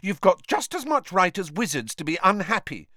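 A storybook narrator voicing an earnest, bossy teenage schoolgirl who lectures briskly. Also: mid-range voice, sing-song, bright, forceful tone, dry recording, studio quality, pitched above the performer's own voice. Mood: exasperated.

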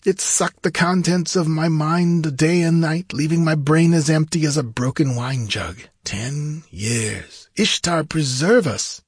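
A man's voice: a little high, unhurried, few pauses, breathy edge, no room sound, studio quality, voice pushed up. A man voicing an aristocratic, wry sorcerer in a fantasy audiobook, with courtly, archaic and dramatic phrasing. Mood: bitter, haunted. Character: tall aristocratic sorcerer freed from long torment, eloquent, wry.

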